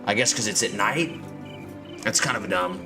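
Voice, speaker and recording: A man with a fairly low voice commentates casually on the game he is playing, grumbling and swearing as he goes. Game music plays clearly behind him. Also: normal speed. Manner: profane, grumbling. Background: video game music.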